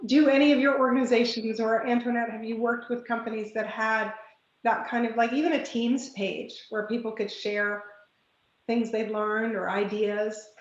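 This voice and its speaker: A woman hosting an online discussion group, going hoarse and thinking aloud with many fillers and restarts. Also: a little high, reverberant, muffled recording. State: hoarse, losing her voice. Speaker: meeting facilitator.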